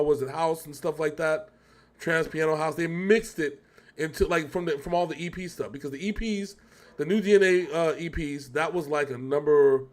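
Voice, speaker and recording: Higher-voiced man chatting casually. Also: darkish tone. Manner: rambling, thinking aloud with frequent self-corrections. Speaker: reaction show host. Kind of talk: casual music discussion, reaction chat.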